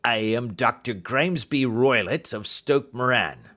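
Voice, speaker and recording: A man's voice: medium pitch, measured pace, a bit bright, pitched above the performer's own voice, lightly acted. A man voicing a huge, fierce old doctor in an audiobook, a bullying villain who snarls and blusters. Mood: stern, threatening. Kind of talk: audiobook character acting.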